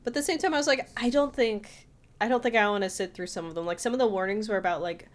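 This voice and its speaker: A woman's voice: mid-range voice, fast. A woman co-hosting a casual podcast, chatty and given to telling things as quoted back-and-forth. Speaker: podcast co-host.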